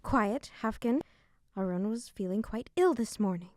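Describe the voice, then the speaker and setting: A woman's voice: medium pitch, animated, moderate pace, a little mellow, no room sound, clean studio sound, lightly acted. A woman playing a firm, diplomatic queen in an audio drama, speaking with composure. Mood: firm, composed. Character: firm, diplomatic fairy queen, the king's wife.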